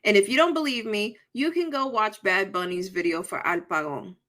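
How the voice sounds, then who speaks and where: A woman co-hosting a podcast, speaking with a Dominican accent. Her voice is bright and sharp, and she talks bluntly, animated and unfiltered, swearing casually as she tells her stories. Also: medium pitch, normal speed. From Puerto Rico and the Dominican Republic. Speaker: podcast co-host. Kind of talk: casual chat.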